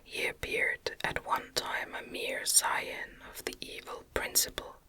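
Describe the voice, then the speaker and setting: Slow, crisp whispering woman reading gothic fiction. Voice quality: breathy unvoiced whisper. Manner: slow, gentle, deliberate phrasing. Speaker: audiobook reader.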